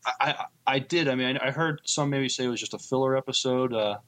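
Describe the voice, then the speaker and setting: Halting man, casual podcast co-host. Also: medium pitch, a bit bright. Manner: halting, repeats words as he thinks. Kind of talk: casual chat.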